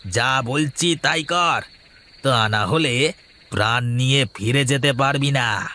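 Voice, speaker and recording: A man voicing a menacing, gloating bandit leader in a cartoon, with a slow, theatrical, threatening delivery. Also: medium pitch, sharp tone. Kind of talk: cartoon villain acting.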